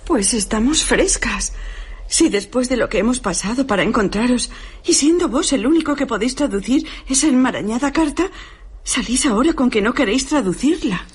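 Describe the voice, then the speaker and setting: An actress playing a courtly but outspoken fairy-tale princess with a Castilian Spanish accent in a radio drama. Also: female voice, a little high, lively intonation, normal speed, breathy edge. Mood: indignant. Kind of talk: Spanish radio theatre acting.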